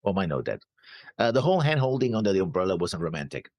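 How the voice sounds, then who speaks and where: Deep-voiced man, halting and lively. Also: no room sound, studio quality. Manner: hesitant, halting, trails off at the ends of phrases. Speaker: reaction show co-host. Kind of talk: casual discussion of an anime episode.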